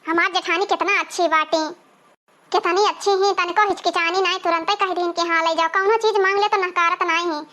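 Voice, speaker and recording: A woman acts out a worried middle sister-in-law from an Awadhi cartoon comedy. The voice is extremely high and sharply bright, chatty and pleading, with an Awadhi village accent. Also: fairly even intonation, a little fast. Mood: relieved. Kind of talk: cartoon family comedy dialogue.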